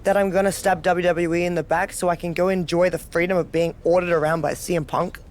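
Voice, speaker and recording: A woman's voice: fairly low pitch, fairly even intonation, fast talker, a little mellow, a little hiss or hum, natural voice. A woman playing a cocky, dominant, sardonic wrestler who relishes chaos in a video game cutscene. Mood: defiant, assured. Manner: sardonic, self-assured. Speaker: pro wrestler character.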